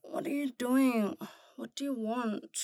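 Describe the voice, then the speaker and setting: Female actor for flippant, curious young woman in fantasy audio drama; slangy, sarcastic delivery, unhurried, higher-pitched. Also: fairly even intonation, dark tone, dry recording, clean studio sound. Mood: grumpy. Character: flippant, grumpy young woman newly dead, blunt and curious.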